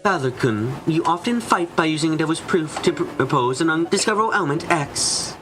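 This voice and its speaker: A man voicing a formal, composed and probing debate opponent from a visual novel mystery, with measured phrasing. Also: a little high, lively intonation, lightly acted. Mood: pointed, formal. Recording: music behind the voice.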